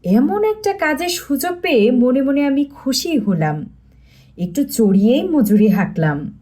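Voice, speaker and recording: A woman's voice: high-pitched, very animated, unhurried, dark tone. A woman reading a mystery story as scripted audiobook narration.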